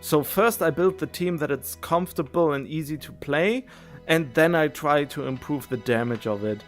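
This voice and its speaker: Higher-voiced man rambling on a game stream. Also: moderate pace, faint music behind. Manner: rambling, self-correcting, musing. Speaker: video game livestreamer. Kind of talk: casual chat, thinking aloud about game strategy.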